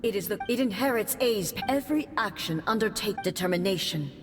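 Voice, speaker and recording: A woman's voice: medium pitch, animated, a little fast, natural voice. A woman voicing a majestic, solemn immortal ruler and warrior in a video game, speaking in a formal, imperious way. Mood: proud, imperious. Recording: music behind the voice.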